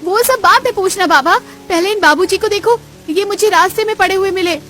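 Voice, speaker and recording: A woman playing a caring young village woman in a dramatized moral story, earnest, anxious and expressive. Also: extremely high pitch, brisk, faint music behind. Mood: alarmed.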